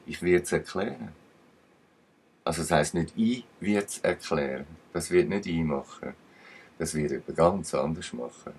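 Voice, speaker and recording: A man's voice: low voice, a little mellow, breathy edge. A man with a Swiss German accent giving rambling commentary, emphatic and digressive, with uneven bursts. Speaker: channel commentator.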